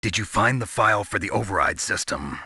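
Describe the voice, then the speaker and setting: Gruff american soldier guy from a video game, super gravelly and deep, terse and deadpan, real dry close sound. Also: a little fast, no room sound, full character voice. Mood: grim, focused. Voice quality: gravelly, husky. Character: gruff, stoic middle-aged male soldier, covert operative. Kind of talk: dramatic video game voice acting.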